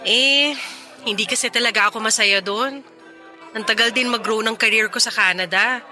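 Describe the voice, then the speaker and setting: A woman's voice: fairly high pitch, moderate pace, bright, forceful tone, faint music behind. An actress playing a wistful young woman in a Tagalog radio drama, teasing and conversational as she talks with an old flame. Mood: wistful, confiding. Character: Mela, wistful young woman reunited with her ex.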